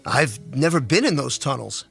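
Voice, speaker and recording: A man's voice: mid-range voice, big pitch swings, normal speed, creaky, a bit bright, breathy edge, faint music behind, exaggerated voice. A man playing a genial, affable man in a conversational dramatic reading of a novel. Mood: intrigued. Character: genial married man, politically minded science fiction fan.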